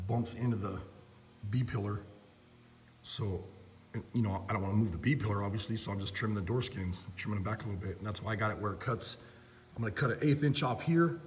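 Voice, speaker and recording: A middle-aged man with a hoarse voice talks loosely through his work, heavy on fillers and plain shop talk. His voice carries a long reverb off the hard walls of a big metal shop, with a faint hum of background noise. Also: medium pitch. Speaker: host. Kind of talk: casual shop walkthrough. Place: large metal fabrication shop, indoors. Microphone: phone camera held in hand.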